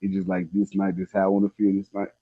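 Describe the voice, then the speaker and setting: A man in his twenties talks in a fairly low voice, candid and loose with plenty of slang. He rambles casually as he shares personal stories. The recording is dry and slightly dull, with little room sound. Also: monotone, fast, no room sound. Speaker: guest telling personal stories. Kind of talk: casual chat.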